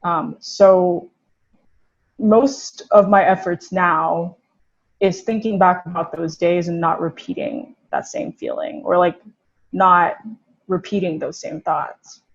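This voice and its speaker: A woman's voice: lowish voice, lively intonation, slow pace, husky, muffled recording. A young woman from Korea, a guest in a casual conversation, talking reflectively with many fillers.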